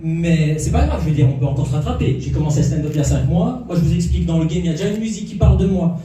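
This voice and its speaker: Man, stand-up comic, crowd work, playful teasing, dark mellow tone, roomy echo, distant mic. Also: a little high, lively intonation, racing. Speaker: stand-up comedian. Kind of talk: stand-up comedy routine. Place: comedy club stage before a live audience. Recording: echoey room, from far off.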